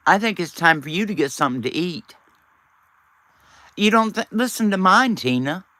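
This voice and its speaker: Raspy man, casual live-stream chat, fairly high animated voice. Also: moderate pace, dry recording. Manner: teasing, chatty, reads out and answers viewers.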